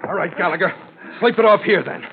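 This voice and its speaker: Tough American skipper, tinny old radio. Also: male voice, high voice, animated, fast talker, heavy background noise. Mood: firm. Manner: terse, wry, matter-of-fact. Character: tough, wry hard-boiled male ship's skipper, narrator. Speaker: lead actor and narrator of radio drama. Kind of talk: 1940s-50s hard-boiled radio narration and acting. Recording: tinny vintage radio recording, loud music.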